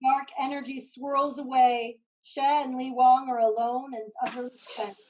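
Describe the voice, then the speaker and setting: A woman narrates the action of a staged play reading. Her voice sits slightly high as she reads scripted stage directions. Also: flat delivery, unhurried, darkish tone, rough recording.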